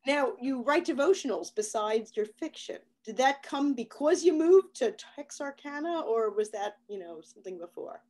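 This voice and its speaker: A woman hosting a podcast, chatting casually with her guest, quick to laugh and react with enthusiasm. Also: fairly high pitch, lively intonation, a little mellow. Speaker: podcast host. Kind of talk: casual interview chat.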